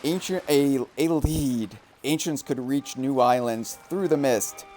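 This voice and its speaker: A man's voice: medium pitch, takes it slowly, warm tone, soft background music. A man co-hosting a game stream, casually chatting and reading game text aloud.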